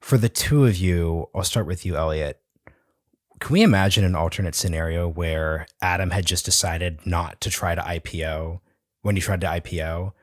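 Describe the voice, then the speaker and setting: A man's voice: low voice, moderate pace, dry recording, studio quality. A man hosting a podcast interview, asking long, thinking-aloud questions in a casual conversational way. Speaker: podcast host.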